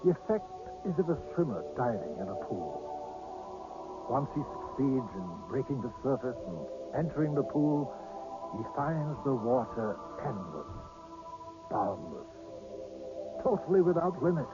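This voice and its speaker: A man's voice: mid-range voice, very animated, measured pace, music in the background. Genteel old mystery man, lilting delivery. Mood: musing, knowing. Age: older. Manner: genteel, hesitant, precise, softly knowing. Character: polite, mysterious old scout of seers. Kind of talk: radio drama acting. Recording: dull, noisy old radio broadcast.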